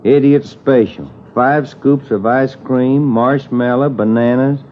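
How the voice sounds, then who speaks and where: An actor playing an easygoing police detective who asks questions in a plain, conversational way, in 1950s radio drama style. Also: male voice, medium pitch, takes it slowly, lightly acted. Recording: dull old radio broadcast with hiss.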